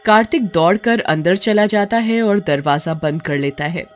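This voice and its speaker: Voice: medium pitch, normal speed. A narrator telling a scary story in scripted, dramatic storybook narration.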